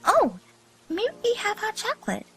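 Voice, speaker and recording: A man voicing an excitable young female cartoon pony in a squeaky falsetto for a fan fiction reading. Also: normal speed, vocal fry, soft background music, exaggerated voice. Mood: hopeful, dreamy.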